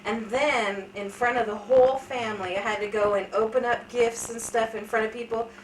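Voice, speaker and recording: A woman's voice: a little high, steady tone, normal speed, recorded from a distance. A woman in her thirties telling candid, funny stories about her life in a casual family interview. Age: 30s. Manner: chatty, candid storytelling with self-deprecating humor. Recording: echoey room, voice from off-mic, light hiss.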